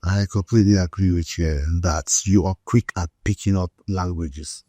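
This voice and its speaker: A man's voice: deep voice, fairly even intonation, moderate pace, mellow, dry recording. A male host interviewing an actor, asking follow-up questions and talking directly to viewers.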